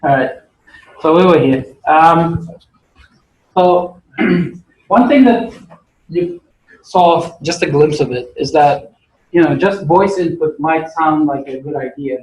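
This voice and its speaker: A man's voice: fairly high pitch, measured pace, a little mellow, a little hiss or hum. A man from Portugal with a Portuguese accent, giving a casual, chatty university lecture with many fillers. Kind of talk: classroom lecture. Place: lecture hall. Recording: echoey lecture hall recording.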